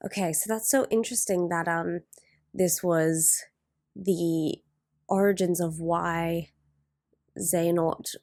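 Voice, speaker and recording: A woman hosting a reaction video with casual, off-the-cuff commentary, halting as she thinks aloud. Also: lowish voice, a little mellow, no echo, studio quality.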